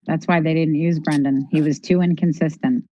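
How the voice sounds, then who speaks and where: Emphatic woman podcaster, lowish voice, muffled audio. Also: steady tone, normal speed, dark tone. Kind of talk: conversational case commentary.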